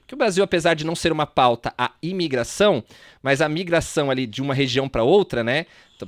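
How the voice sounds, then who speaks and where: A man's voice: fairly high pitch, fairly bright tone, no room sound, studio quality. A man hosting a podcast, putting questions conversationally in a hesitant, roundabout way. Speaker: podcast host.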